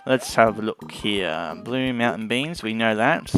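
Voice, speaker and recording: A man's voice: lowish voice, animated, measured pace, a bit bright. A man giving casual let's play commentary on a video game as its host. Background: game music.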